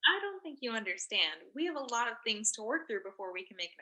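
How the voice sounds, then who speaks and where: A woman's voice: on the high side, very animated, quick pace, sharp tone, full character voice. A woman in a staged play reading, playing an earnest, hesitant intellectual who calls a radio show. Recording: recorded over video call.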